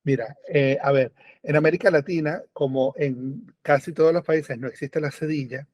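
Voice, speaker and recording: A Venezuelan-born man chatting as a radio guest, animated and full of fillers and rephrasing. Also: fairly high pitch, normal speed, dry recording, dull audio. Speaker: guest, book editor. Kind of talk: casual radio conversation. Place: remote call or studio, lives in Europe.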